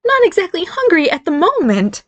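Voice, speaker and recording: A woman's voice: extremely high pitch, wildly swooping pitch, moderate pace, lo-fi sound, pitched above the performer's own voice, exaggerated voice. Barry, a woman doing a whiny sarcastic teen. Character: sarcastic teen boy magically turned girl.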